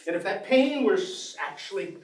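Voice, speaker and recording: A man's voice: high-pitched, lively intonation, moderate pace. A man on stage playing a bitter, articulate atheist professor who longs for death, in a theatrical declamatory delivery. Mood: bitter, impassioned. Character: bleak, bitter, intellectual atheist male professor longing for death. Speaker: stage actor in a play. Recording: echoey theatre stage, distant microphone.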